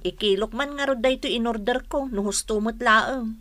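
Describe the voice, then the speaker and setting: A woman's voice: fairly high pitch, moderate pace, a bit bright, no room sound, lightly acted. A woman playing a plain-spoken, suspicious village housewife with a Filipino accent in a comedy radio drama. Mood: doubtful.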